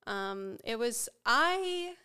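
Woman with a stuffy cold, nasal and froggy but still smooth, chatty podcast cohost who laughs a lot. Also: fairly high pitch, big pitch swings, drawn-out words, bright, forceful tone. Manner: chatty, laughs often, uses many fillers. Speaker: podcast co-host. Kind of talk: casual chat.

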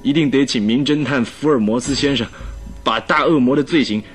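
A timid gentleman played by a male actor in a radio play. He pleads in an earnest, anxious manner at a middling pitch, with faint music underneath. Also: a little fast, husky, lightly acted. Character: timid, cowed gentleman secretly warning of his master. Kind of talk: radio drama acting.

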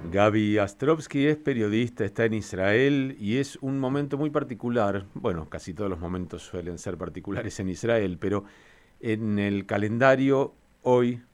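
Lower-voiced man hosting a radio-style interview, measured. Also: a little mellow, soft background music. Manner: measured, formal presenter delivery. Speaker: podcast host.

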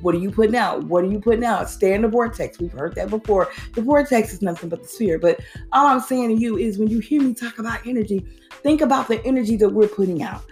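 A woman's voice: mid-range voice, fast talker, darkish tone, soft background music. A woman hosting a motivational talk show, preaching with repeated phrases and slangy asides. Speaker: podcast host.

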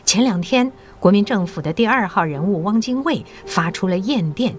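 An actress playing a mature, patriotic school principal in an audio drama, encouraging and persuasive with measured authority. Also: female voice, mid-range voice, animated, soft background music, natural voice. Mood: grave, persuasive.

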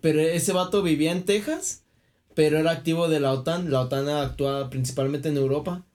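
A man's voice: on the high side, fairly quick, a little mellow. Chatty young Mexican man. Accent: Mexican Spanish. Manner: rambling, chatty, drops into quoted voices. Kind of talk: casual chat.

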